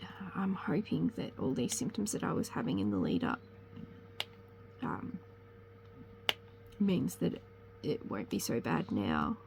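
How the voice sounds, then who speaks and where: A woman's voice: very deep voice, huge pitch swings, a little slow, creaky, long pauses, background noise. A young woman with a slight cold chats casually to camera as host of a crafting channel, rambling and correcting herself as she goes. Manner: rambling, chatty, self-correcting. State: sniffly with a cold.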